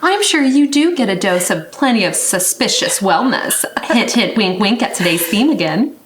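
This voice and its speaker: A woman co-hosting a wellness podcast in casual chat, playful and quick to laugh. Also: mid-range voice, lively intonation, reverberant, a little hiss or hum. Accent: English-speaking. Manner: giggly, playful, joking. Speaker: podcast co-host.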